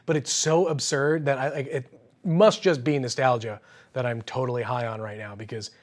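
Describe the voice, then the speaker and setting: A man's voice: mid-range voice, steady tone, moderate pace. A man hosting an unboxing show in casual, slangy, enthusiastic chat full of exclamations.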